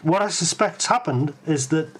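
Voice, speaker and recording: A Geordie man with a voice sitting a little above the usual male range. He hosts a live stream and talks his thoughts through, often pausing on ums and restarting sentences. His delivery is unscripted and conversational. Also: on the high side, lively intonation, rough recording. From Tyneside, England. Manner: hesitant, full of ums and restarts, musing aloud. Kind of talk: live tech stream, thinking aloud and answering chat.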